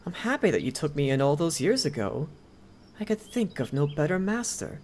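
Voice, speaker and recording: A woman acts out a loyal, courteous head butler serving a noble lady in an ASMR roleplay. His voice sits low and moves expressively up and down, with formal, deferential phrasing. Faint insect ambience runs behind it. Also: sing-song, normal speed, a little mellow, slight background noise. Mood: earnest, loyal. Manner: polite, deferential, formal servant speech. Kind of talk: ASMR roleplay, scripted character acting.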